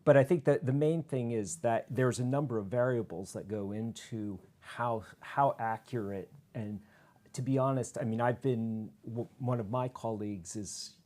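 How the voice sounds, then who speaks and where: A man's voice: medium pitch, normal speed, a little mellow. A middle-aged man talking conversationally as a panelist in a discussion.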